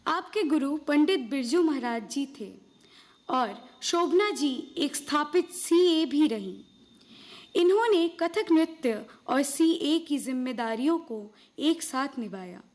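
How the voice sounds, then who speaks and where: Female stage presenter, high pitch, slow formal declamation of a memorised script. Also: expressive, darkish tone. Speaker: stage presenter in a school performance. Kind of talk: recited scripted narration.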